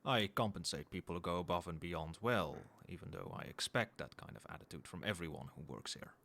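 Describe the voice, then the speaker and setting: Man playing a low-voiced, formal CEO boss. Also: lively intonation, gravelly, pushed and bright, heavily acted. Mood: firm, businesslike. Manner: formal, measured, businesslike, faintly suggestive. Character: cold, professional male CEO boss, quietly demanding. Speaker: voice actor in an audio roleplay. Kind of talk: ASMR roleplay, one-sided dialogue to the listener.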